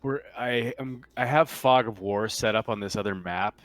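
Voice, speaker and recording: A man speaking casually and haltingly as game master of an online tabletop roleplaying session. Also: fairly low pitch, no echo.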